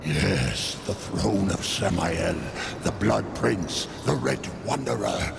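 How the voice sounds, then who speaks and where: An actor playing a sly, sardonic demon merchant in a video game, ominous and portentous, with a gravelly voice. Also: male voice, low voice, expressive, slow pace, heavily acted. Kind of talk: video game cutscene acting. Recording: loud noise behind the voice.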